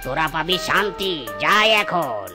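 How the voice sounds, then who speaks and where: A woman's voice: low voice, animated, measured pace. A woman voicing a solemn, mysterious potion-giver in a cartoon, drawing out the words. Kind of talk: cartoon character dubbing. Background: music.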